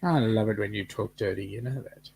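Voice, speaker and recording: A man's voice: on the low side, a little fast, creaky, darkish tone, husky. A man, co-hosting a casual live-stream chat and joking playfully with his wife. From Australia. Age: late 50s. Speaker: co-host.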